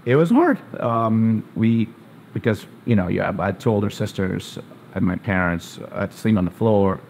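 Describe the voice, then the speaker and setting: A man's voice: on the low side, lively intonation, moderate pace, creaky, a little mellow, slight background noise. A man born in Zambia and raised in New Jersey, a physician answering interview questions hesitantly with many uh's and um's. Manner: hesitant, lots of uh and um, plain spoken. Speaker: guest, family physician.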